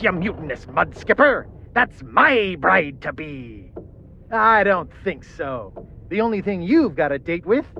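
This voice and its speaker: A man's voice: high voice, sharp tone, lo-fi sound, a little hiss or hum, full character voice. A male actor playing a vain, boyish, self-important pirate hero with theatrical delivery in a video game. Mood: heroic. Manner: pompous, theatrical. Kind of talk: video game cutscene acting.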